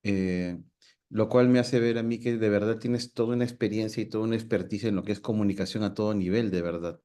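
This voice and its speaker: A man's voice: lowish voice, fairly even intonation, fast talker, mellow. A man, hosting a talk and reflecting thoughtfully with many fillers. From Peru. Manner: reflective, asks the guest's view, many fillers. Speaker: host. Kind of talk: casual conversational talk.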